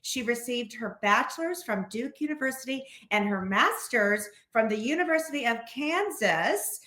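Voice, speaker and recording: A woman's voice: a little high, expressive, moderate pace, pushed and bright. An American woman hosting a casual podcast, chatty and effusive, recorded with a roomy echo. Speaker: podcast host.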